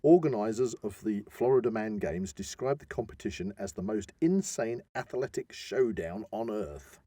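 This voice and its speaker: A man's voice: on the low side, animated, moderate pace, mellow, dry recording. A British man co-hosting a podcast in casual, jokey, rambling chat, recorded close up in a studio. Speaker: podcast co-host. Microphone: close studio microphone.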